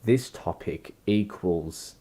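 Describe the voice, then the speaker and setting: A man with a croaky voice, hosting a livestream and musing slowly through a philosophical chat that builds into long lists. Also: on the low side, warm tone. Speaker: livestream host.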